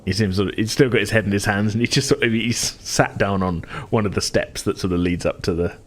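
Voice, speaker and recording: Low-voiced man running a tabletop game. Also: a little fast. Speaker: game master. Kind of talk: casual improvised tabletop game narration.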